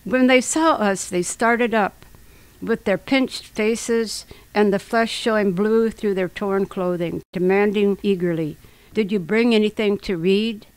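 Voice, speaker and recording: A woman's voice: mid-range voice, unhurried, clean tone, dry recording, rough recording. A woman reading literary historical prose aloud in a dramatic style for radio. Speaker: reader.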